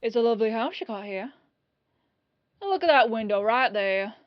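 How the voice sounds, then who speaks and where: A woman's voice: fairly high pitch, expressive, normal speed, no echo, dull audio, lightly acted. Woman playing a folksy, humble, rambling detective. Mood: humble, chatty. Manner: rambling, self-effacing, disarmingly casual. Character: folksy, humble, apologetic, chatty police detective. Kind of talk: ASMR roleplay audio drama.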